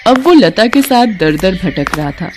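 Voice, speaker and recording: A woman narrator tells a scripted moral tale. Her voice is mid-pitched and she keeps to a storytelling delivery. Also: very animated, unhurried, background noise.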